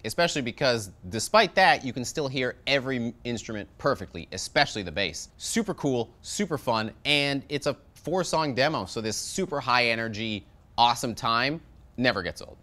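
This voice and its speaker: A man in his thirties hosting a metal review show, delivering a jokey scripted review from a studio set. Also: fairly bright tone. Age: 30s. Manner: sarcastic, jokey, wide swings in pitch. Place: studio set with shelves of records.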